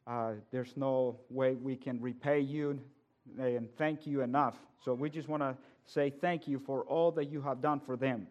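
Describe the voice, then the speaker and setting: A man from El Salvador, preaching a sermon as a guest preacher and building from quiet words to loud emphasis. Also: mid-range voice, steady tone, a little slow, muffled recording.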